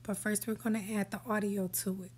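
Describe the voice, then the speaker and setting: A woman hosting a screen-recorded app tutorial, giving relaxed step-by-step instructions that sometimes drop to a whisper. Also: medium pitch, a little fast, husky. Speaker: tutorial host. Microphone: voice-over for a screen recording.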